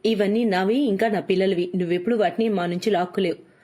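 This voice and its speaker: A woman playing a protective young mother, anxious yet gentle, in a cartoon story. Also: mid-range voice, steady tone, quick pace, close to an everyday voice. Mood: indignant. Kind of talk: cartoon moral story acting.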